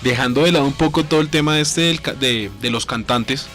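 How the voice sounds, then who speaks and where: A man's voice: mid-range voice, brisk, a bit bright. A man co-hosting a radio show, chatting casually and enthusiastically. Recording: music behind the talk. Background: music.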